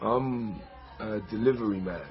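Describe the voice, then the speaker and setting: A man's voice: on the low side, a little slow, faint music behind, close to an everyday voice. An actor playing a mild, lovelorn delivery man in a radio comedy drama, hesitant and plaintive. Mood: awkward, guarded. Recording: dull sound with faint noise.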